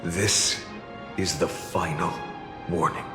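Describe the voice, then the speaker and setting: An actor playing a solemn, weary and menacing warrior in a video game, with a cold, measured delivery. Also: male voice, deep voice, fairly even intonation. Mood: menacing calm. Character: weary, solemn, menacing warrior who has lived countless cycles. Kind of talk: dramatic video game voice acting. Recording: reverberant hall sound with music behind.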